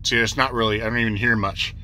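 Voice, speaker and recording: A man's voice: medium pitch, fairly even intonation, fast talker, background noise. A man explaining things in a casual, plain-spoken, hands-on way, repeating his instructions step by step like a trucker showing a beginner the ropes. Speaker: trucker giving a tutorial. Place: inside a truck cab.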